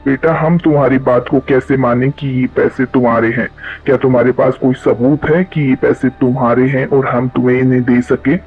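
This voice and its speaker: Stern, even-toned village headman played by a man. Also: medium pitch, fairly quick, phone-quality sound, faint music behind, lightly acted. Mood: authoritative. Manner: authoritative, scolding. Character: stern male village headman judging disputes.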